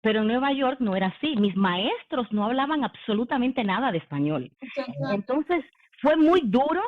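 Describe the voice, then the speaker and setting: A woman's voice: a little high, very animated, moderate pace. A woman in her forties, from the Dominican Republic, telling her own story in a leadership talk on a video call, with many "em" and "eh" fillers. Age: 40s. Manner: warm conversational, frequent "em" and "eh" fillers. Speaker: company executive giving a leadership talk. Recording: phone-like online meeting audio.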